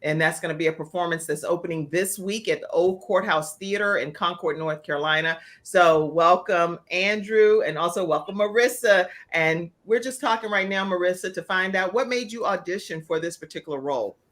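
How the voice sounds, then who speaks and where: A woman's voice: on the low side, moderate pace, few pauses, a bit bright. Chatty woman hosting a talk show. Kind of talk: live interview with casual chat.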